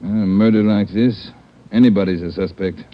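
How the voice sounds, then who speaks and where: An actor playing a gruff, authoritative chief of detectives with a commanding manner in an old radio drama. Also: male voice, fairly low pitch, fairly even intonation, moderate pace, lightly acted. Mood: brisk authority. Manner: brusque, commanding. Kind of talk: old-time radio acting. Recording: muffled, hissy old radio broadcast.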